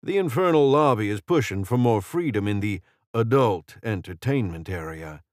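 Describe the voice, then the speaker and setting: A man's voice: on the low side, lively intonation, normal speed, no room sound, close to an everyday voice. Guy doing a polite chatty southern fey fella, folksy drawl, playful, close dry audiobook sound.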